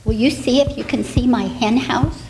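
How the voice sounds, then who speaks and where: A woman's voice: fairly high pitch, normal speed, darkish tone, big-room sound, a little hiss or hum, close to an everyday voice, rough recording. A woman voicing a lost, teary baby chick in a children's story, with a small, plaintive voice.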